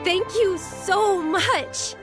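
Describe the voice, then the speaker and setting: A woman's voice: very high-pitched, extremely slow, music in the background, full character voice. A young woman playing an earnest, doubtful girl in a video game, speaking hesitantly. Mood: amazed.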